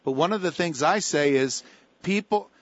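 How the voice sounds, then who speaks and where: Brief: male talk radio host, emphatic and repetitive, fairly high voice, dry and dull lo-fi sound. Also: moderate pace, no echo.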